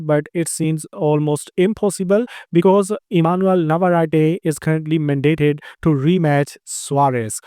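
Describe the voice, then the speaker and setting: A man's voice: fairly high pitch, darkish tone, clear, resonant tone, dry recording, clean studio sound. A man with an Indian accent hosting a combat sports news roundup, talking in long run-on sentences with frequent "uh" fillers. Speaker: host.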